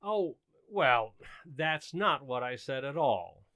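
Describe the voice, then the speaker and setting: A man's voice: medium pitch, lively intonation, slow pace, sharp tone, dry recording. A man plays a suburban husband in old-time radio comedy. He is earnest and a little fussy, with very varied intonation. The sound is close and dry.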